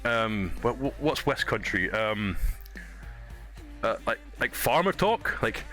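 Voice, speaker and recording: A man's voice: lowish voice, animated, takes it slowly, bright, forceful tone, soft background music. A Scottish man streaming a game, chatting casually, swearing and laughing easily. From Scotland. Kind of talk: casual gaming stream chat.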